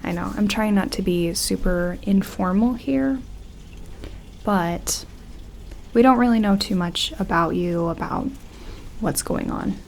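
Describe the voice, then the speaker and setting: A woman playing a gentle, respectful sci-fi colony medic in a soft-spoken, hesitant ASMR roleplay, close to the microphone. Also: mid-range voice, measured pace, gravelly, a little hiss or hum. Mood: chatty, reassuring. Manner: hushed, hesitant, reassuring, informal.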